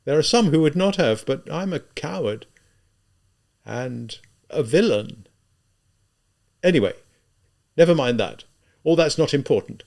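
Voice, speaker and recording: A man voicing a tormented, spiteful young murderer in an audiobook, halting and emphatic as he reproaches himself. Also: on the high side, expressive, vocal fry, a little mellow, voice pushed up. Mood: self-loathing.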